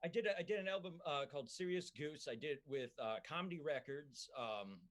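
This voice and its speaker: A comedian with a Minnesotan accent, chatting on a podcast in a halting way full of fillers and restarts. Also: male voice, a little high. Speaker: comedian guest. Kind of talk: casual podcast chat.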